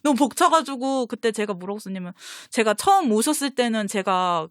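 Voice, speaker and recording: A woman's voice: on the high side, expressive, a little fast, no room sound, studio quality. A young woman in casual conversation, telling personal anecdotes in an effusive, self-deprecating way. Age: young adult. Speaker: guest, fan of voice actors.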